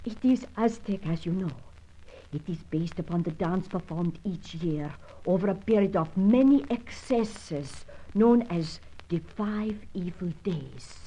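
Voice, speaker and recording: An anguished, melodramatic European wife. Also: female voice, on the low side, expressive, unhurried, warm tone, rough recording. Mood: ominous. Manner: melodramatic, formal, careful phrasing. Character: distressed European wife of a dead man, fearful, confiding. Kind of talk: old radio adventure serial acting.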